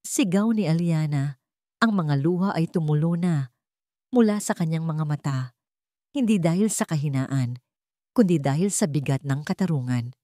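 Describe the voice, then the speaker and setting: A woman's voice: sits low, moderate pace, pauses often, dark tone, no echo, clean studio sound. A woman with a Filipino accent reading a scripted romance drama as a story narrator.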